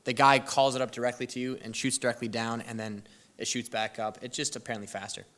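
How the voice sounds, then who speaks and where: A man's voice: medium pitch, flat delivery, fast, fairly bright tone. A young man casually telling a church youth audience about a trip, rambling and breaking off mid-sentence with lots of fillers. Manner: rambling, self-interrupting storytelling with fillers. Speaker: youth group presenter. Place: church hall.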